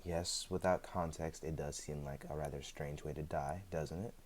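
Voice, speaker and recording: A man's voice: rumbling low, steady tone, normal speed, a little mellow, a little hiss or hum. A man playing a chatty, sheepish immortal in a roleplay audio drama, talking in a hesitant, conversational way with dry humor. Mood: hesitant, matter-of-fact. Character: chatty, sheepish, evasive immortal man, freshly back from death.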